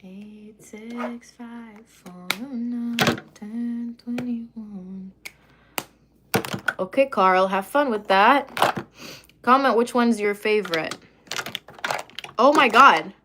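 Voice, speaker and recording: Woman streamer, relaxed and rambling, chats with viewers while doing her makeup at home. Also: on the high side, extremely slow, long pauses, very noisy. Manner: chatty, rambling, many fillers and asides. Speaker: livestreamer answering chat. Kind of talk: casual livestream chat while doing makeup.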